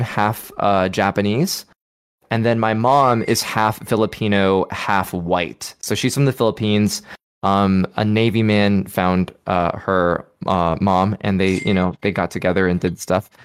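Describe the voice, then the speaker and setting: A man as a guest on a casual podcast, talking in a relaxed, thoughtful way with small laughs. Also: on the low side, unhurried, clear, resonant tone. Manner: relaxed, thoughtful, many fillers and restarts, light laughs.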